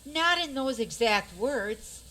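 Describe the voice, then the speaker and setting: A woman's voice: high voice, expressive, slow pace, sharp tone, slight background noise, exaggerated voice, rough recording. A woman acting a plainspoken, practical fairy-tale princess in a community radio play, with a matter-of-fact manner.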